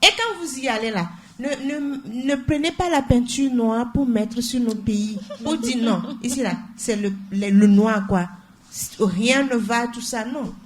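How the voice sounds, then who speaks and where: A woman's voice: fairly high pitch, measured pace, darkish tone. A young woman, speaking as a co-host in a radio talk show discussion and driving home her points by repeating phrases. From Togo. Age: young adult. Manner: emphatic, repeats phrases for weight.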